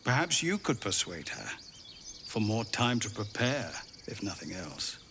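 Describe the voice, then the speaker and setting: An actor playing a weary middle-aged leader of refugees, speaking in a grave, pleading way. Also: male voice, on the low side, big pitch swings, measured pace, gravelly, background noise, full character voice. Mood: worried. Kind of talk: video game dialogue. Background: game music.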